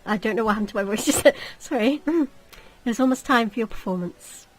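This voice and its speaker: A woman reading a visual novel aloud as expressive story narration. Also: fairly high pitch, fairly quick, poor audio.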